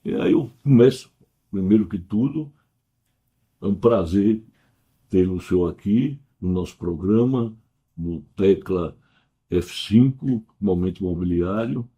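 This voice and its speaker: A man hosting an interview show, talking in a casual, halting way with repeated words. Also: low voice, warm tone, husky, muffled recording. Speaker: TV program host.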